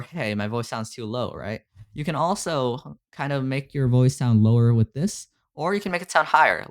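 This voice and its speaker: Casual man explaining, tacking on "right?". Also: medium pitch. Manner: loose, filler-heavy, keeps asking "right?". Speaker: streaming audio mentor. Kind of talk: casual screen-share tutorial on a call. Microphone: desktop USB condenser mic.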